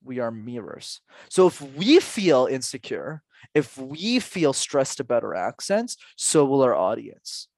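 A man's voice: medium pitch, very animated, a little slow, creaky, a little mellow, dry recording. A man in his twenties, a public speaking coach answering interview questions in an earnest, emphatic way, full of rhetorical questions. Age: 20s.

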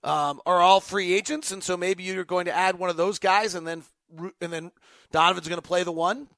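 A male sports podcast host with a high-pitched voice, recorded dry and close. He talks off the cuff, working through his thoughts aloud in broken, emphatic phrases. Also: fairly even intonation, fairly quick, creaky, a bit bright, no echo. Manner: thinking aloud, emphatic, choppy fragmented phrasing. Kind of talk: unscripted basketball talk, answering fan questions.

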